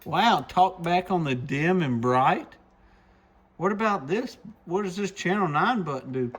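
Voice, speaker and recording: A man's voice: high voice, lively intonation, measured pace, a bit bright, slightly rough. A man giving a casual product demo in a folksy, enthusiastic way, like a shop presenter talking to viewers.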